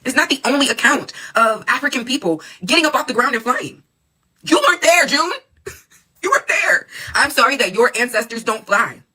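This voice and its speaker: Fast-talking woman with a bright, husky voice. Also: sits high, rough recording. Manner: animated, swings between calm and exclaiming. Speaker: doctoral student and class participant. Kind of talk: storytelling monologue.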